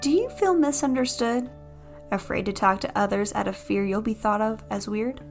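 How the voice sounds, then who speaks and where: A woman's voice: medium pitch, normal speed, faint music behind. A woman co-hosting a comedy podcast, reading a scripted promo.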